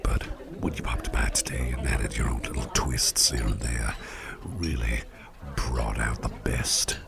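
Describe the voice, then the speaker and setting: A man voicing a gracious, devilish male boss in an ASMR roleplay, praising the listener in soft whispers. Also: a bass voice, vocal fry, exaggerated voice. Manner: effusive, softly whispered praise.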